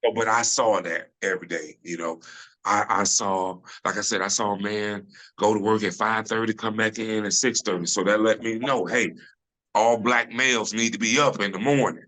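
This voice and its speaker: A middle-aged man with a deep voice, a comedian guest talking casually and loosely in an interview over a video call, full of "you know" and restarts. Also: normal speed. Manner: relaxed storyteller, many restarts and "you know".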